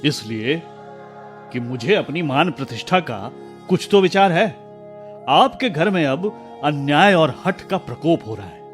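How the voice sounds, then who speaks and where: Upright young husband in a radio play, highish voice, swings up and down, earnest. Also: male voice, lively intonation, measured pace, faint music behind. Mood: firm indignation. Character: principled, educated young husband, indignant and earnest. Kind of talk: Hindi radio drama acting.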